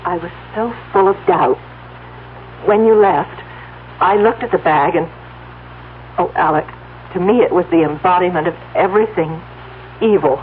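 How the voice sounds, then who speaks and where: A woman's voice: lowish voice, normal speed, pauses often, close to an everyday voice. Woman acting a tender, anxious farm wife, tinny recording. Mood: guilty, anxious. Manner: emotional, hesitant, earnest. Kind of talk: old-time radio drama acting. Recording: tinny, hissy old radio recording with hum.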